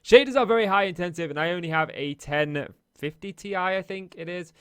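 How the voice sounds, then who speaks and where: A man hosting a let's play, chatting casually and narrating each move as he plays, his voice a little hoarse. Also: high-pitched, a little fast, dry recording. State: slightly hoarse, losing his voice. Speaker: Minecraft let's play host. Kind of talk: casual gameplay commentary.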